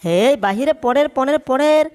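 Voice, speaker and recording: High-pitched, blustering cartoon tomcat with , voice-acted. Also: measured pace, a little mellow. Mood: gleeful scheming. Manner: blustering threats and exclamations in colloquial speech. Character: scheming, hot-tempered male cartoon cat, boastful and flirtatious. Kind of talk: comic cartoon dubbing.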